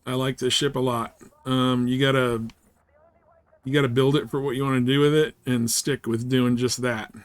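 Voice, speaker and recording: Casting: male game streamer, mid-pitched voice, laid-back and slangy, loose rambling live commentary. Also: little pitch movement, moderate pace, no echo. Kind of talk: casual live gameplay chat and commentary.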